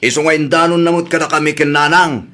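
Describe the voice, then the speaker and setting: Actor as stern high-voiced Ilocano man. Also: steady tone, quick pace, pushed and bright. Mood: stern, reproachful. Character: stern man confronting a relative over wrongdoing. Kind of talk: Ilocano radio comedy drama acting.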